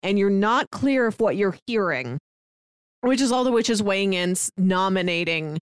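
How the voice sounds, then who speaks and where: A woman's voice: medium pitch, moderate pace, smooth, no echo. A woman with a mid-range voice co-hosts a casual podcast, retelling movie scenes at length with plenty of fillers. The recording is dry and close, with no room sound. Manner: lively retelling with frequent fillers. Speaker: podcast co-host. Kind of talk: casual chat.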